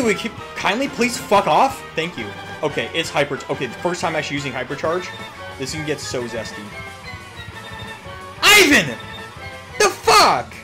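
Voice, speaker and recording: An excitable young man giving casual, profane, slangy gameplay commentary, repeating himself as he goes. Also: medium pitch. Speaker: gaming YouTuber. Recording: music behind the voice.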